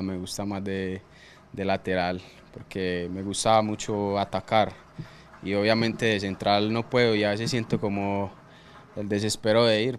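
Soft-spoken young soccer player, halting. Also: male voice, fairly low pitch, slight background noise. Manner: hesitant, repeats words while searching, laughs easily. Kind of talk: postmatch press conference answers. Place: press conference room. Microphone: desk microphone.

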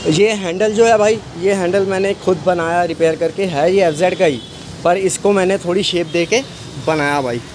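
A man's voice: high-pitched, normal speed. A man, a bike shop owner casually showing off his work on camera, boastful and chatty, calling everyone "bro". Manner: chatty, says "bhai" constantly, boastful. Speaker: bike mechanic and channel host. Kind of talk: casual walkaround video talk. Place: outdoor bike repair shop. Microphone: phone camera, speaker off screen. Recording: noisy street and traffic behind.

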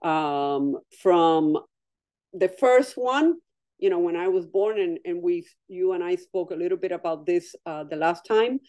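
A woman's voice: lowish voice, animated, unhurried, darkish tone, no echo. A woman artist, a native Spanish speaker, talking thoughtfully over a video call from home and often restarting her sentences. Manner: thoughtful, with frequent restarts and repeated words. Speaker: artist interviewee. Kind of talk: reflective conversation.

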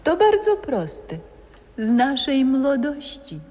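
An actress playing a calm, solemn old friend and former singer who comes visiting in a 1960s radio play. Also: female voice, sits high, takes it slowly, big-room sound, heavily acted.

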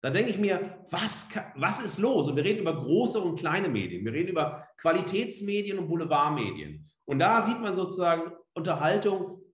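Animated man lecturing in an echoey room. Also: high voice. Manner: emphatic and rhetorical, with repeated phrases and pointed pauses. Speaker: professor giving a lecture. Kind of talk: free-spoken academic lecture.

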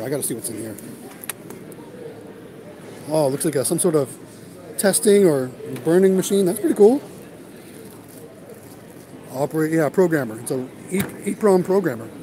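A man hosting a casual livestream, chatting to viewers as he walks a large warehouse auction. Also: a little high. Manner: chatty, addresses viewers as guys. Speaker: vending business vlogger and livestream host.

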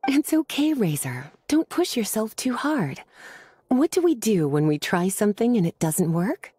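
Mellow, teasing witch. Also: medium pitch, big pitch swings, normal speed, slightly rough, no room sound. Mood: encouraging. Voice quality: velvety, soft. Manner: nurturing, coquettish, leisurely explanatory. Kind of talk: video game character acting.

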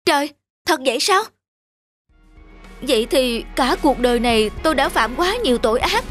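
A woman voicing an aging venomous snake in an animated fairy tale, dramatic and aggrieved, trying to be good. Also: high voice, normal speed. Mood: anxious, humble. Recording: music under the voice.

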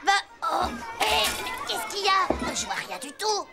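Very high, whiny schoolboy. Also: female voice, normal speed, vocal fry. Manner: complaining, slangy. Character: whiny, mocking, excitable little schoolboy. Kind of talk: children's cartoon acting. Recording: loud music and crowd behind.

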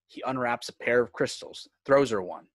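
A man's voice: mid-range voice, moderate pace, no echo, clean studio sound. A man working as narrator and host of a fan script reading. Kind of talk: reading screenplay directions, host outro. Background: music.

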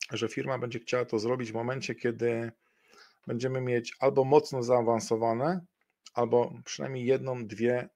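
A man's voice: mid-range voice, darkish tone. A middle-aged man presenting an investment webinar over a video call, talking with many fillers and restarts.

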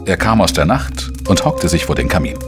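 A man playing a dogged, wry investigator in a crime radio play, speaking and narrating in a deliberate, reflective way. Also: low voice, big pitch swings, music in the background. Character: dogged, wry male journalist-sleuth narrating his own case. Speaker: lead actor and first-person narrator. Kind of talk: German crime audio drama, narration and dialogue.

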